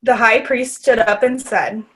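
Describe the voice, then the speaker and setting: High-pitched woman narrating scripture. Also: steady tone, unhurried, poor audio.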